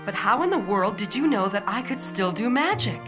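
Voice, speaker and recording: A woman voicing a caring witch mother in her thirties in a cartoon, motherly and expressive. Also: medium pitch, a little fast, natural voice. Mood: tender. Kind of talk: cartoon dub voice acting. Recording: loud music under the voice.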